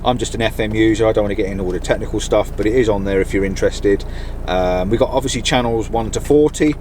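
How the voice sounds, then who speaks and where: A man's voice: lowish voice, fast, darkish tone. A middle-aged British man giving a casual, enthusiastic product review to camera in a chatty, informal way. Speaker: vlogger reviewing gear. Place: inside a campervan. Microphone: camera on a mount in the van. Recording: background noise inside a vehicle.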